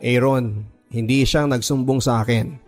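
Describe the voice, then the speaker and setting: A man's voice: mid-range voice, fairly even intonation, measured pace, darkish tone, soft background music, lightly acted. Man acting out a firm, concerned male teacher. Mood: stern, concerned. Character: concerned, stern male teacher confronting his students. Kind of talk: dramatic radio drama dialogue.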